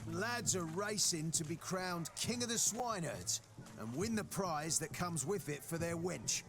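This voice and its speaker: An actor playing a boisterous rustic villager who runs a festival game, talking in a folksy dialect. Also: male voice, on the high side, lively intonation, unhurried, vocal fry. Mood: hearty. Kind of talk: video game cutscene voice acting. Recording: game soundtrack music behind.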